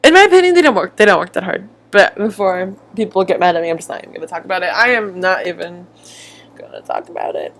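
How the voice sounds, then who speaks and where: A woman's voice: lowish voice, fast talker. A woman giving casual, sarcastic reaction commentary, often acting out what others say. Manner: sarcastic, animated mimicking. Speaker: video commentator.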